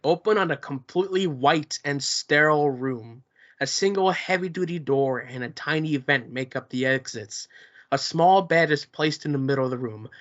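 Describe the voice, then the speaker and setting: A man reads stage directions in a plain, even way, mid-pitched and steady. The recording is dull and lo-fi.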